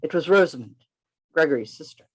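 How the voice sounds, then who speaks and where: Man acting a florid gentleman poet, lively pitch. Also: fairly quick, slightly rough. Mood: wistful narration. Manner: florid, formal, theatrical. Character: witty, chivalrous gentleman poet narrating his own adventure. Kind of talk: radio play reading, literary first-person narration. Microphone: video call. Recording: video call reading with music behind.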